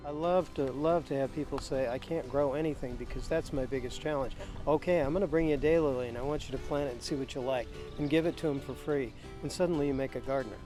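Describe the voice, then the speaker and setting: Effusive man gushing softly. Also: medium pitch, fast talker, hardly any pauses, music in the background. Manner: effusive, repeats words. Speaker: daylily grower. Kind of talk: interview.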